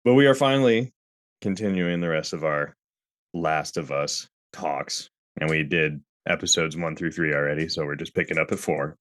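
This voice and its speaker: A man co-hosting a casual podcast while sick, relaxed and quick to laugh. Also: extremely low pitch. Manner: laid-back, laughs easily. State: sick, congested. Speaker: podcast co-host. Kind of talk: casual chat.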